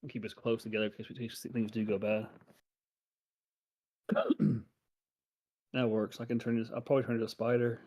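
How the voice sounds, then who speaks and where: Man player thinking out loud, mid pitch, hesitant, lots of restarts. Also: little pitch movement, normal speed, pauses often. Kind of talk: planning aloud in a tabletop game.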